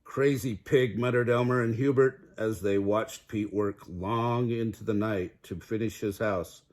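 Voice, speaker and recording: A man narrating a children's fairy tale aloud in an expressive storytelling style. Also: on the low side, a little slow. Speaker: storybook reader.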